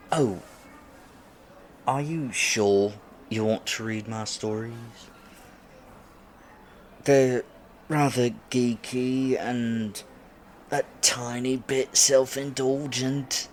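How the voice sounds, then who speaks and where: A man's voice: mid-range voice, very slow, long pauses, slight background noise. A man acting in a roleplay audio drama as an awkward, geeky man on a blind date, stammering, flustered and self-deprecating. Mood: bashful.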